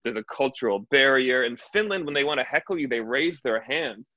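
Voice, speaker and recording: Comedian guy, bright forceful voice, muffled dry sound, tells stories fast. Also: medium pitch, no echo. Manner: animated storyteller, quick asides. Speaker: stand-up comedian, guest. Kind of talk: casual interview chat.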